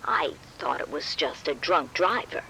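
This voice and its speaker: A genteel elderly woman dithers slowly through game dialogue. Her creaky voice is sharp and swings widely in pitch, with ambience behind it. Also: expressive, slight background noise, heavily acted, rough recording. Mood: hesitant, helpful. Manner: dithering, slow. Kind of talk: video game cutscene dialogue.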